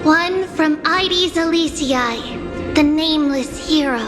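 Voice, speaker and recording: High, slow, ceremonial female oracle. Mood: grave, proclaiming. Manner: grand, formal proclamation. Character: solemn, ceremonial female oracle voicing a Titan's judgment. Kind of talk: dramatic fantasy game voice acting. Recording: long reverb, music behind.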